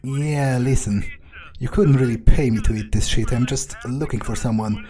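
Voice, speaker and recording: A man's voice: mid-range voice, normal speed, dark tone. A man roleplaying his game character aloud, musing to himself with cold, menacing understatement. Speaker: gameplay video player.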